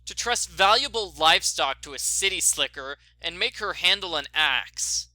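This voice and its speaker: A bright, forceful voice of an indignant young city girl, acted out by a man. She complains with sarcasm and exasperation, swinging high in pitch in a dry storybook reading. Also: animated, moderate pace, dry recording, full character voice, poor audio. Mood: sarcastic.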